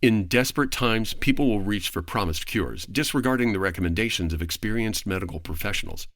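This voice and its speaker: A man speaking as a podcast guest, giving a prepared, articulate explanation in formal, measured phrasing. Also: on the low side, a bit bright, no echo.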